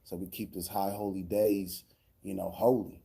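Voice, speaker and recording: A man's voice: low-pitched, flat delivery, moderate pace, vocal fry, very noisy. A man hosting a livestream, chatting loosely between songs with plenty of "you know" fillers. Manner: loose, laid-back talk with many fillers. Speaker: livestream host. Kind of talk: casual chat between songs.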